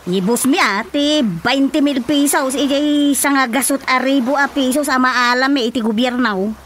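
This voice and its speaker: Pushy sweet-talking granddaughter, ilocano accent, highish voice, radio comedy drama. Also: female voice, normal speed, fairly bright tone, a little hiss or hum. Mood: wheedling, eager. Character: brash, wheedling granddaughter petitioning the village chairman.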